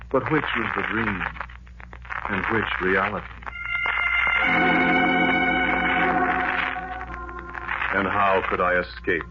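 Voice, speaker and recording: An actor playing a lovelorn, haunted man in an old-time radio drama, speaking in a brooding, poetic, measured way on a noisy vintage recording. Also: lowish voice, highs cut off, natural voice. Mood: haunted yearning. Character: lovelorn man haunted by a dreamed-of beloved, gone to war.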